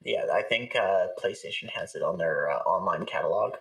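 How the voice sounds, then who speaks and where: A man's voice: deep voice, lively intonation, moderate pace, fairly bright tone, far from the mic, low-quality recording. A man chatting casually as an interview guest, with hesitant, thoughtful phrasing. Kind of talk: casual chat.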